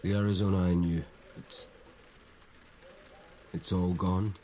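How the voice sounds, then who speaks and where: An actor playing a nostalgic brother who coaxes out shared memories, in naturalistic audio drama. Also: male voice, low voice, little pitch movement, takes it slowly, dark tone, slight background noise. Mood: wistful.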